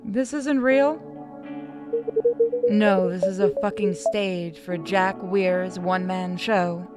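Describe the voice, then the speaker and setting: A woman voicing a bitter, disillusioned wife with an accusing, sharp-tongued manner in a visual novel. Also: medium pitch, fairly even intonation, slow, over music, natural voice. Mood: bitter, wounded.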